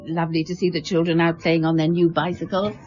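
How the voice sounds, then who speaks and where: A woman's voice: lowish voice, level tone, fast talker, darkish tone, muffled recording, natural voice. A woman playing a fussy, affectionate middle-aged housewife in a radio drama. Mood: affectionate chiding.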